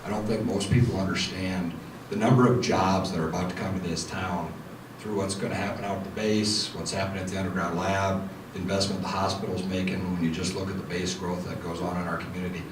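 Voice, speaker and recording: A man with a very low voice speaks earnestly on a panel, his talk full of "um"s. He sounds far from the mic in an echoey room. Steady hiss runs under him. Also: fast talker. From United States. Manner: earnest, with frequent fillers. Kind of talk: panel introduction. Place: meeting room.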